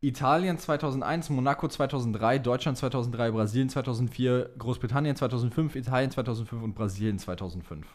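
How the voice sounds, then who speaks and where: Young man, podcast co-host, informal slangy delivery, teasing casual banter, mid-range pitch. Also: fast talker, hardly any pauses.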